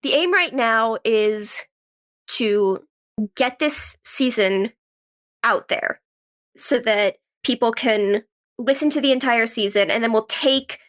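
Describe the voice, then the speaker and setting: Higher-pitched woman chatting as interview guest. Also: slow pace, a bit bright. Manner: conversational, frequent "like". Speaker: guest, actress and writer. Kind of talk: casual interview chat.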